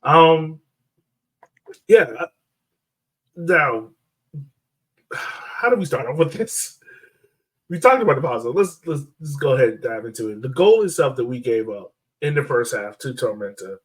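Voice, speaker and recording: A man's voice: mid-range voice, animated, unhurried, pauses often, far from the mic, rough recording. A man co-hosting a sports podcast, casually breaking down a soccer match and repeating himself as he thinks aloud.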